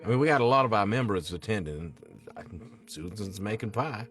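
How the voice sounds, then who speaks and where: An actor reading a pious, politely pushy activist in a film script, with a hesitant, ingratiating, folksy manner. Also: male voice, on the low side, lively intonation, brisk, fairly bright tone. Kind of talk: table read of a film script.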